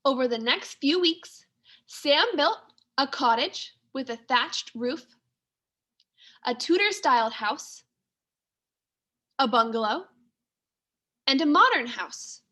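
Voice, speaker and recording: Bright, high-voiced woman reading a story. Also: animated, slow pace, pauses often. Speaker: second grade teacher. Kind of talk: read-aloud storytelling for children.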